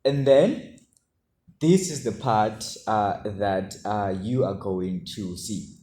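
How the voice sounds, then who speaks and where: A low-voiced man with a soft, dark tone gives an informal tutorial. He talks haltingly, feeling his way through explanations and often tagging on a quick check for agreement. The room rings noticeably around him, with a faint layer of noise underneath. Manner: halting, with frequent check-in tags. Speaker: coding tutor. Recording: roomy echo, faint background noise.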